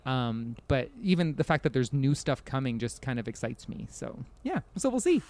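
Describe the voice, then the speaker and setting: A man's voice: medium pitch, very animated, moderate pace, a little mellow. A man chatting casually as a podcast guest, rambling and self-deprecating, often hedging and chuckling as he talks.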